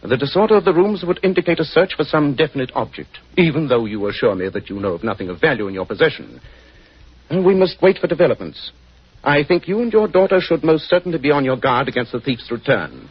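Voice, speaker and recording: A man playing a keen, composed gentleman detective with a British accent, speaking precisely and formally in 1940s radio style. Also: fairly high pitch, big pitch swings, quick pace, breathy edge. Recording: dull, muffled 1940s radio recording.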